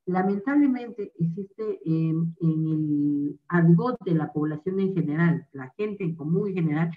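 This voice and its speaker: A woman's voice: on the low side, measured pace. A woman doctor giving an online medical lecture, explaining carefully and checking in with her listeners. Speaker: rheumatologist giving a talk. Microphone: video call microphone. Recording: dull distant video call audio.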